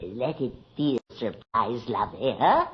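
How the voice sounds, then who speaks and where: An actress playing a servile, scheming servant who pleads deferentially in a radio horror drama. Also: female voice, extremely low pitch, lively intonation, a little slow. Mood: fawning, sly. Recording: muffled radio recording with slight noise.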